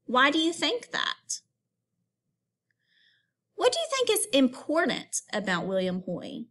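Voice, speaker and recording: A woman with a fairly high voice speaks as a teacher to young children. She delivers a read-aloud lesson in an instructive, expressive storytelling manner, addressing her listeners as pupils. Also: slow pace.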